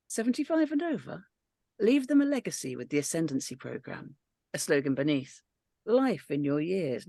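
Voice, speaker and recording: A woman reading stage directions in a script read-through, steady and matter-of-fact. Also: sits low, no room sound, studio quality.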